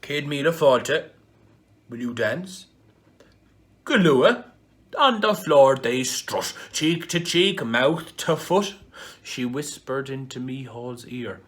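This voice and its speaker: A man's voice: mid-range voice, expressive, takes it slowly. A man with an Irish accent reciting a comic rhyming ballad in a mock-dramatic, storytelling manner. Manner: mock-dramatic storytelling with big swings in volume. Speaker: performer reading a piece.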